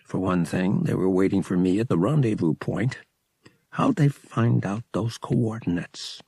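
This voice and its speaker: A man's voice: low-pitched, a little slow, vocal fry, mellow, slightly rough, no room sound, exaggerated voice. A man playing a casual, slangy young male pilot with a sympathetic streak in an audiobook. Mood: conspiratorial, casual.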